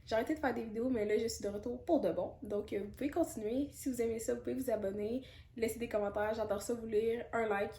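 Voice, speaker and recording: A young Quebec woman chats through a casual Q&A vlog in a slightly high voice, candid and loose, often pausing on fillers. Her voice rings in an echoey room with a faint hiss behind it. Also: fairly even intonation, warm tone. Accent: Quebec French. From Canada. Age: 20s. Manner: chatty and candid, with frequent fillers and asides. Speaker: YouTuber.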